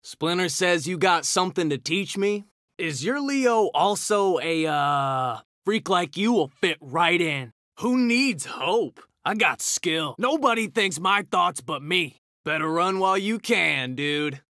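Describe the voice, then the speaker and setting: Bright, cocky New turtle brawler. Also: male voice, high-pitched, animated, slow pace, dry recording, clean studio sound, exaggerated voice. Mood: cocky, taunting. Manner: brash, slangy trash talk. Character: cocky hot-tempered young male mutant ninja turtle brawler. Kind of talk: video game fighter taunts.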